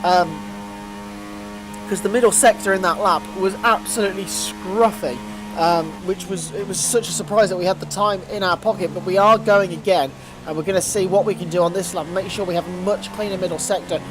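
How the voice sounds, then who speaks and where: A man's voice: high voice, noisy background. A young man with a blocked-up nose, hosting a gaming channel and giving eager racing game commentary. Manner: eager, rising to excitement on fast laps.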